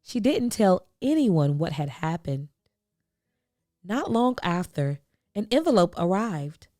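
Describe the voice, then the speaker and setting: A woman reading a children's storybook aloud, expressively, with deliberate phrasing and dramatic pauses. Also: fairly low pitch, sing-song, warm tone, no room sound, studio quality. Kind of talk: expressive picture-book read-aloud.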